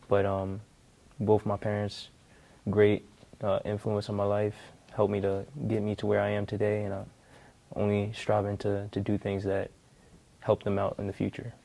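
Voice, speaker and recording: Mellow, even-toned young man. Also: on the low side, creaky. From New York and New Jersey, US. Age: young adult. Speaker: student interviewee. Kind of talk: informal interview answers.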